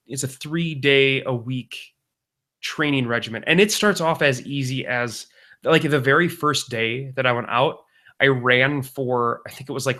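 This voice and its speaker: A man's voice: medium pitch, bright, forceful tone. A man co-hosting a podcast in casual, comedic banter. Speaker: podcast co-host.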